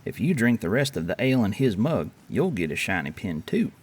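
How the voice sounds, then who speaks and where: A man's voice: lowish voice, very animated, brisk, breathy edge. A man voicing a sly, manipulative female raven in an animal fable, scoffing and coaxing.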